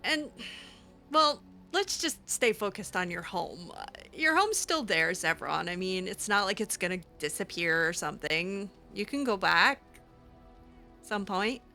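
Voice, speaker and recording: A woman's voice: mid-range voice, lively intonation, a little slow, bright, forceful tone, faint music behind. A woman improvising in character over a video game, conversational and hesitant, often trailing off. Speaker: roleplay game streamer.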